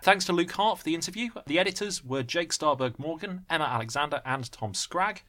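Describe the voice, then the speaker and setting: A man's voice: on the high side, lively intonation, fairly quick, sharp tone. A man co-hosting a podcast in a casual, chatty way. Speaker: podcast co-host. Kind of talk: casual banter.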